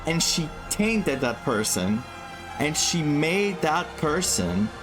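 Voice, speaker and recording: A man's voice: a little high, sing-song, slow pace. A man commenting casually on a game playthrough, English not his first language, rambling and searching for words. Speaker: gaming streamer. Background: game music.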